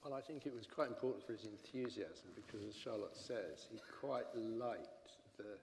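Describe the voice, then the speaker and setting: Low-voiced man in a noisy echoing hall. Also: moderate pace. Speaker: panel guest. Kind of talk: panel discussion. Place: lecture hall. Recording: echoey hall with loud background noise.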